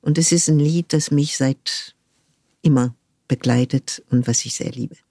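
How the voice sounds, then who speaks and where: An older woman from France, speaking thoughtfully as an interview guest and pausing often to search for the right word. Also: a bass voice, warm tone, dry recording, studio quality. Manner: thoughtful, searching for words with frequent pauses. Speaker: guest, cultural manager. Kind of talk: reflective conversational interview.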